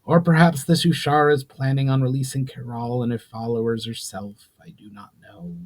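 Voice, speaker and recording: A man voicing an ancient, weary sorceress in a storybook reading, speaking slowly and solemnly with deep sorrow. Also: fairly even intonation, natural voice. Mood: grave, weary. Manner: slow, pained, solemn speech.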